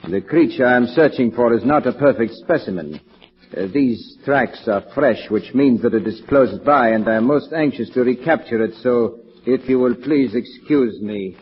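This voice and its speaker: An actor playing a polite, secretive foreign professor in an old radio drama, with formal, halting, careful non-native phrasing. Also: male voice, medium pitch, husky, lightly acted. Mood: politely evasive. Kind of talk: 1940s radio acting. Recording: dull old radio recording.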